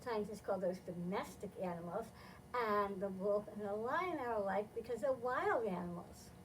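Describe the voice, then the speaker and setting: A woman's voice: medium pitch, moderate pace, far from the mic, slight background noise, rough recording. A woman hosting a library storytime, reading aloud and explaining things simply for young children. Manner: simple, instructive phrasing aimed at small children.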